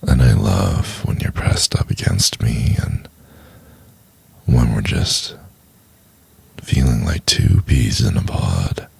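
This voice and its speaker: A man playing a domineering, possessive CEO boss in an intimate ASMR roleplay, in a gravelly, breathy half-whisper. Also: very deep voice, darkish tone, dry recording, exaggerated voice. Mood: tender, intimate. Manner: slow, commanding, intimate.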